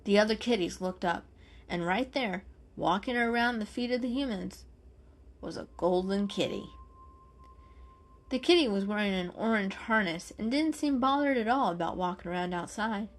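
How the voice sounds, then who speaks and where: A woman's voice: mid-range voice, normal speed. A woman giving a dramatic reading of a children's story as the narrator.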